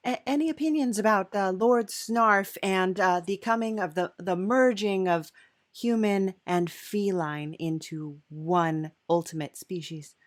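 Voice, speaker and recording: A woman hosting a playful, casual comedy interview, asking questions in a hesitant, curious deadpan. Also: medium pitch, a little slow, dry recording, studio quality. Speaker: podcast host.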